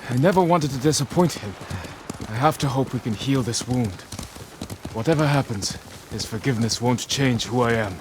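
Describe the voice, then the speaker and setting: An actor playing a stoic, dutiful samurai outlaw in a dramatic game cutscene, speaking in a solemn, measured, earnest manner. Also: male voice, mid-range voice, background noise, close to an everyday voice. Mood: solemn, regretful. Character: stoic, dutiful samurai man turned outlaw, guilt-laden but resolute.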